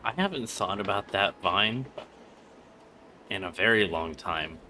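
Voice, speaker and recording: Bright, forceful low-voiced man streaming. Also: animated, unhurried, slight background noise. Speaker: livestreamer. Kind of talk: casual gameplay stream commentary.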